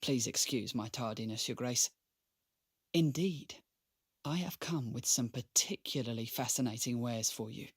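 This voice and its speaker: An actor playing a courteous, teasing merchant in a radio drama, speaking in formal, flattering, eloquent phrases. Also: male voice, medium pitch, a little slow, breathy edge. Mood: smooth, deferential. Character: courteous, teasing male merchant, a grateful former slave. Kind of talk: scripted radio drama acting.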